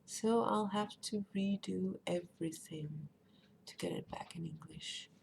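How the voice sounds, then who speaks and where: A woman's voice: fairly low pitch, measured pace, gravelly, a little mellow. Chatty woman streaming a game. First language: Danish. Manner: chatty, rambling, reads chat aloud. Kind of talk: casual live-stream chat while gaming. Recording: faint background noise.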